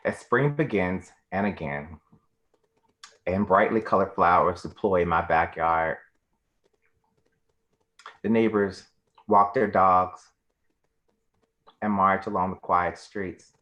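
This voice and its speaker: A man's voice: low-pitched, slow pace, pauses often, dull audio. A man playing an anxious, laid-off coffee shop worker in a poetic dramatic monologue. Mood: wistful dread.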